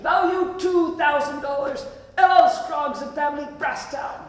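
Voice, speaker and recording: A woman playing deliberate rural townsfolk in a stage play, reciting in a measured way with falling line endings. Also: high-pitched. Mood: solemn, deliberate. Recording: very echoey hall.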